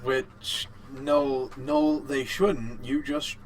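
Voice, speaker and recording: A man's voice: medium pitch, fairly even intonation, extremely slow, low-quality recording. A man co-hosting a casual podcast, speaking informally and often repeating words as he goes. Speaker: podcast co-host. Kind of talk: casual chat about video games.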